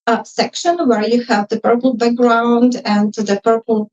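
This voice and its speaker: A woman with a fairly high, rather even voice speaks English as a second language. She is hesitant and apologetic as she offers informal feedback. She sounds distant from the microphone. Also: unhurried. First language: not English. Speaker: audience member, junior UX designer. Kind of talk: informal spoken feedback and questions. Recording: muffled live event audio, distant mic.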